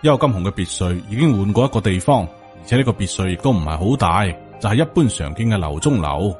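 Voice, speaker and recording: A man's voice: lowish voice, expressive, fast talker, a little mellow, soft background music. A man with a Hong Kong Cantonese accent reads a novel aloud as a scripted audiobook narrator.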